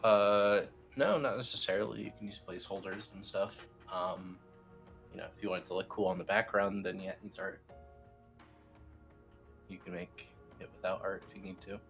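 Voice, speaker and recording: A man with a low voice guides someone through software one click at a time. His delivery is informal and a bit hesitant, like a colleague instructing over a screen. Faint music plays underneath. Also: steady tone, normal speed, gravelly. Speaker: team lead explaining software steps. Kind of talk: casual step-by-step screen tutorial.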